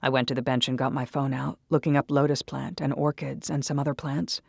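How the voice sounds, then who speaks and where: A woman giving dramatic first-person story narration in a measured, intimate manner. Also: extremely low pitch, little pitch movement, vocal fry, a little mellow, breathy edge, no echo, studio quality. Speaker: audiobook-style narrator. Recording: music behind the voice.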